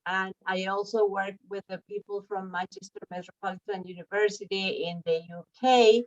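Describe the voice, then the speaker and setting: A woman's voice: mid-range voice, steady tone, normal speed. A woman from Argentina, a linguist, introducing her work carefully and searching for words. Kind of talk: video call introduction. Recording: dull, lo-fi audio.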